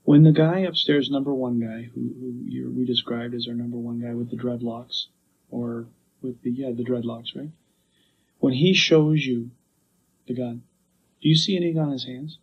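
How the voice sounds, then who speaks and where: A man working as a police detective, questioning a witness in a calm, methodical way, heard on an archival interview recording. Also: medium pitch, moderate pace, vocal fry, highs cut off, slight background noise.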